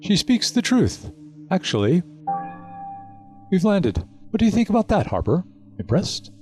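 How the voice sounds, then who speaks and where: Guy playing a sly smug audio drama villain, mocking, pitch swinging all over, music behind. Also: very animated, a little slow, a little mellow. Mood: pleased. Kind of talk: full-cast audio drama acting.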